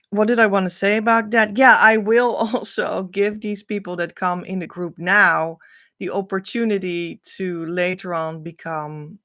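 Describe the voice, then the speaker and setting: Woman, slow unhurried speech, gravelly fry, dry close sound, earnest encouraging coach. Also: low-pitched, fairly bright tone, dry recording. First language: Dutch. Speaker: spiritual coach and card reader. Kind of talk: casual card-reading monologue.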